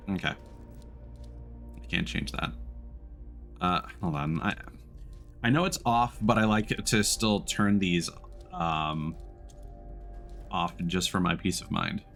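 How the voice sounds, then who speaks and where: A man's voice: low voice, creaky, fairly bright tone. A man live-streaming a video game, chatting casually with viewers as he goes. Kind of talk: casual live-stream chat with gameplay commentary.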